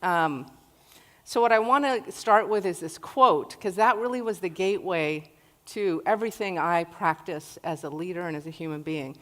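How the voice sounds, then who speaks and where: A woman's voice: fairly low pitch, moderate pace. A woman in her forties, born in Iraq, giving an earnest personal talk to an audience in a large hall. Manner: earnest, warm-hearted storytelling. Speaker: guest speaker. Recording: long hall reverb.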